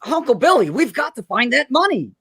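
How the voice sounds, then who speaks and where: A man's voice: extremely high pitch, very animated, fairly quick, fairly bright tone, husky, dry recording, clean studio sound, exaggerated voice. An actor playing a frantic, bitter family man in a radio play, volatile and agitated. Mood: urgent. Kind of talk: old-fashioned radio play acting.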